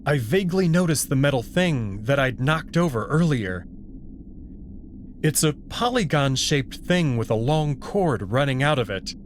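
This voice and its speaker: A man reads dramatic first-person fiction at an unhurried pace. His pitch moves expressively through the narration. There is faint noise behind the voice. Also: lively intonation.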